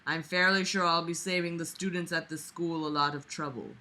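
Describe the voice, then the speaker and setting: A woman's voice: fairly low pitch, steady tone, brisk, pushed and bright, pitched below the performer's own voice. Woman reading as a stern, cold school principal. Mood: cold, threatening.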